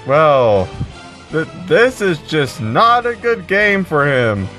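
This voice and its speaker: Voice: medium pitch, big pitch swings, takes it slowly, a bit bright, soft background music. Amateur-sounding bowling announcer, acted by a performer: unsure, casual play-by-play with very varied intonation. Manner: hesitant, casual, rambling. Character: hesitant sports commentator at a silly bowling contest. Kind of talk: mock sports commentary.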